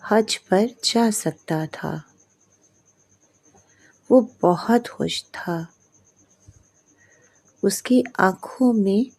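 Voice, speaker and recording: A woman narrating a scripted moral story in short, measured phrases with pauses. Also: medium pitch, a little mellow, husky.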